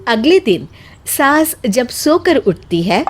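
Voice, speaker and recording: A woman narrator reading a scripted story in an expressive storytelling style. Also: high-pitched, slow pace, music in the background.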